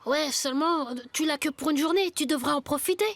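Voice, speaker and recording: Woman doing a squeaky, excitable cartoon boy. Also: fairly quick, lightly acted. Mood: excited, amazed. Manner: wide-eyed, exclamatory. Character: excitable, slangy young schoolboy, the hero's best pal. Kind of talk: French cartoon voice acting. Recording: music behind the voice. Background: music.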